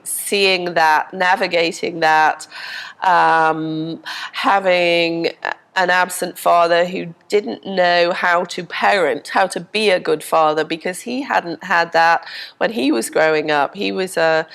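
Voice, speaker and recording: A woman's voice: fairly low pitch, a bit bright. A middle-aged woman from England with a Liverpool accent and a head cold, openly and reflectively telling her life story as an interview guest. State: has a cold, congested. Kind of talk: casual interview conversation.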